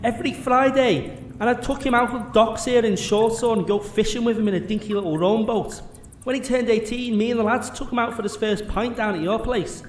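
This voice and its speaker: A man's voice: very high-pitched, fairly even intonation, fairly quick. A man playing a bullying, foul-mouthed guard captain with a British accent, blunt and insulting, in a comedic fantasy audio drama. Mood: incredulous, crude. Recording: echoey room with faint background noise.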